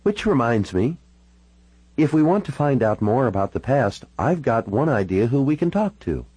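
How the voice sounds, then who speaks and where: Male reader as sardonic woman, higher-pitched, frank, hard-drinking, husky, audiobook dialogue. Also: very animated, normal speed, a little mellow, dry recording, low-quality recording. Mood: bitter, wry. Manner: wry, informal, frank. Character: troubled, sardonic young-to-middle-aged woman, hard-drinking and candid.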